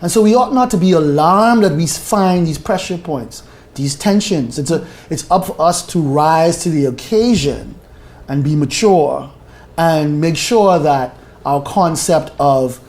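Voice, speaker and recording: A man's voice: a little high, measured pace, darkish tone. A man, speaking as a panel guest in a reflective way and repeating key phrases. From Bahamas. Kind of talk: discussion panel.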